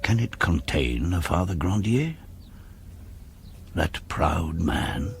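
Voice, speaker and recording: An actor playing an urbane, wry town governor in a radio drama, with a dry, refined manner. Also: male voice, low-pitched, animated, slow, gravelly, slight background noise, full character voice. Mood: wry, musing.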